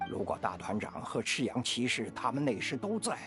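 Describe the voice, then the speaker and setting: An actor playing a kindly, steadfast old veteran knight in a video game, with an aged, gravelly voice and an expressive manner. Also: male voice, mid-range voice, a little fast, close to an everyday voice. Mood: regretful. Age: older. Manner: expressive, sighing. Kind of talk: Chinese video game voice acting. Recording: music behind the voice.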